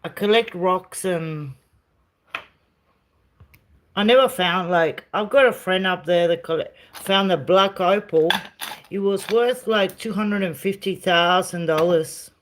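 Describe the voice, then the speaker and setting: Middle-aged woman, South American origin, relaxed home streamer; unhurried and chatty, phone mic indoors. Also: on the low side, a little hiss or hum. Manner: chatty, talks sweetly to her dog. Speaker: live streamer. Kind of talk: casual home live stream tour. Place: rented house, indoors.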